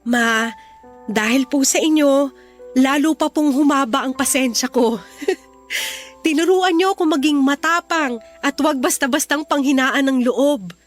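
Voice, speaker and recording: A young Filipino daughter-in-law in a radio drama. Her voice is high, and she is gentle, respectful and forgiving. Faint music sits behind her. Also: unhurried. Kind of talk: Tagalog radio drama acting.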